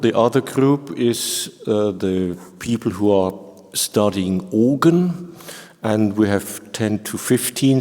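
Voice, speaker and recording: A long hall reverb surrounds a mature man with a German accent. His voice is fairly low, and he explains things informally as a professor on a panel. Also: a little mellow.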